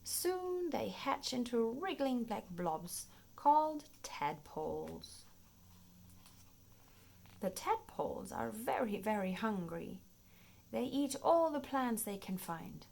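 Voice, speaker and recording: Slow, animated woman reading to children. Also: fairly high pitch. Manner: expressive, playful, clearly paced for young children. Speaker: children's storybook reader. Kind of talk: read-aloud children's nonfiction storytime narration.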